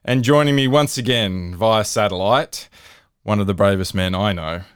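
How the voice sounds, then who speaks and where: A man's voice: fairly low pitch, moderate pace, clean tone, no echo, clean studio sound. Teasing man hosting a podcast. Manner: teasing, jokey. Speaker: podcast host. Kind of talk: casual podcast banter.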